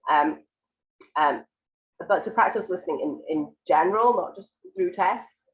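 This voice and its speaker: Lady teacher with a cold, croaky and stuffy, really low voice, kinda dull lo-fi audio. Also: unhurried. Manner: explains patiently with many ums and restarts. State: has a cold, croaky and congested. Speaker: IELTS writing teacher. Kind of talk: live online lesson, answering viewer questions.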